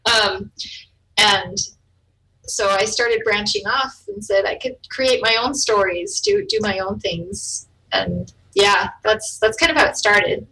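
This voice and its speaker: A woman with a bright, sharp voice heard a little back from the microphone. She talks in a loose, chatty way, laughs easily, and trails off mid-thought. German was her first language. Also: mid-range voice, slow pace, recorded from a distance, rough recording. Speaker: author and artist, interview guest. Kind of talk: casual interview conversation.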